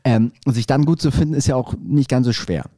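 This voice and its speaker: A man's voice: mid-range voice, a little fast, dark tone, no echo, studio quality. A young man co-hosting a podcast, talking casually in long rambling turns with stammered restarts and cheeky jokes. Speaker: podcast co-host. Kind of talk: casual chat.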